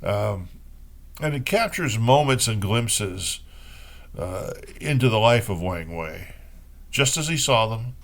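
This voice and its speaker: A man reading poems aloud as host, in an unhurried way with pauses between short phrases. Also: fairly low pitch, animated, fairly bright tone. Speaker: poetry reader and host. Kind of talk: poetry reading with brief spoken commentary.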